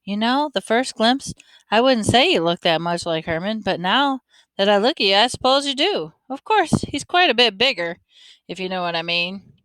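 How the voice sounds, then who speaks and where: A woman's voice: mid-range voice, animated, normal speed, a bit bright, dry recording, natural voice. A woman reading the part of a friendly, teasing older man, a grandfather and former soldier, in a folksy, joking way. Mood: chatty.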